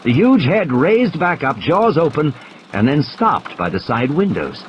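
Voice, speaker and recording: A man's voice: fairly high pitch, sing-song, unhurried, highs cut off, a little hiss or hum. A man reading a thriller audiobook as a dramatic scripted narration. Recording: clear rain and storm effects behind. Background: rain, vehicle and ambience sound effects.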